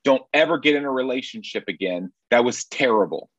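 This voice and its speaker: A man's voice: medium pitch, lively intonation, brisk, a bit bright, no echo. Man on dull dry remote audio. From Nashville, Tennessee, USA. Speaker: sex therapist, podcast guest. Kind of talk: conversational interview answers.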